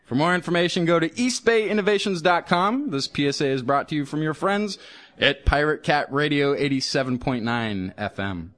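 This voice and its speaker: A man's voice: fairly high pitch, normal speed. A man reading a public service announcement on the radio. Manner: steady, read-aloud delivery. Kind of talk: reading a script.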